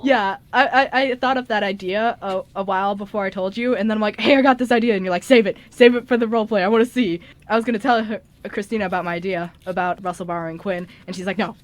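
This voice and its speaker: A woman in a casual group chat as a radio co-host, giggly and animated, acting out quotes as she talks. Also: mid-range voice, quick pace, hardly any pauses. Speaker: co-host and script writer on an amateur radio show.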